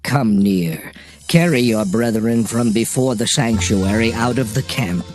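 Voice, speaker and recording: An actor playing a solemn, commanding biblical prophet and leader in a dramatized scripture reading, with a declamatory delivery. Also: male voice, lowish voice, unhurried, close to an everyday voice. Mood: stern, commanding. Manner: solemn, declamatory, archaic phrasing. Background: music.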